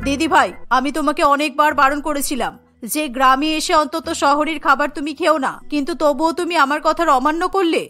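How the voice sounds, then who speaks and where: A stern old village grandmother, acted out by a woman, bright-edged and set lower than a young girl's voice. She admonishes reproachfully in cartoon storytelling style, with music underneath. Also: brisk. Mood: scolding, hurt. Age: older. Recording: music behind the voice.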